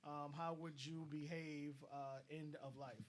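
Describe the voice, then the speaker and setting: Blunt, high-voiced man giving advice. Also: flat delivery, unhurried, very noisy. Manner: blunt, advice-giving, repeats words as he starts a thought. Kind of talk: casual online discussion.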